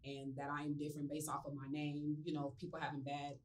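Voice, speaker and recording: Woman from California, low voice, relaxed rambling chat, lots of fillers, a bit far from the mic. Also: quick pace. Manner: rambling, many ums and likes, relaxed and open. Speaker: interview guest, business owner. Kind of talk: casual interview chat. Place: studio.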